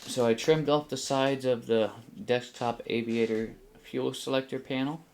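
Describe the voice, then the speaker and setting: A middle-aged man casually explaining a hands-on build, halting as he thinks aloud, in a small home room. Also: mid-range voice, steady tone. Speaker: host of a DIY flight simulator build channel. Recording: faint background room noise.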